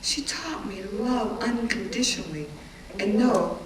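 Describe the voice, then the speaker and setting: A woman performing a dramatic stage reading as a middle-aged witness recalling hard times, pained and resolute, with emphatic, deliberate storytelling. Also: mid-range voice, big pitch swings, a crowd in the background. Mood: tender reflection. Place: live reading venue, indoors. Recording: roomy, distant live-event recording with audience noise.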